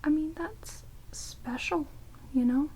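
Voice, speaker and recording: A woman playing a sardonic, playful vampire caretaker in a soft, breathy ASMR roleplay whisper. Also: sits high, takes it slowly, voice pushed up, rough recording. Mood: gossipy, bored. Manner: teasing, dry, casually chatty. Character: sardonic immortal female vampire, rude yet playful caretaker. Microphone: close binaural microphones. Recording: steady rain noise on binaural recording. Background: heavy rain.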